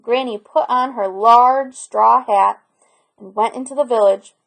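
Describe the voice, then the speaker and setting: A woman reading a children's picture book aloud in an expressive storytelling manner. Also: fairly high pitch, unhurried, vocal fry, low-quality recording.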